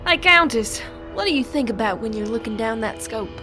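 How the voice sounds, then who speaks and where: A woman's voice: on the high side, animated, a little fast, pushed and bright, soft background music. An actress playing a scheming, cheeky outlaw woman, breezy and teasing, in a fantasy audio drama.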